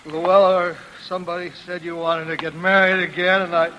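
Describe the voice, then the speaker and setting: An actor playing a drunken, grieving ex-husband, halting and repetitive, in 1940s radio drama style. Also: male voice, sits high, level tone, muffled recording, close to an everyday voice. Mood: maudlin, remorseful. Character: drunken, grieving ex-husband who has killed. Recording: noisy old radio recording.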